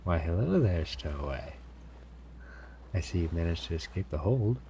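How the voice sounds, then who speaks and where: A man playing a sly, commanding pirate captain in an audio roleplay, smugly amused and theatrical, with deliberate, formal phrasing, teasing pauses and a gravelly voice. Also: low-pitched, animated, mellow, a little hiss or hum. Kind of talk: scripted immersive audio roleplay addressed to listener.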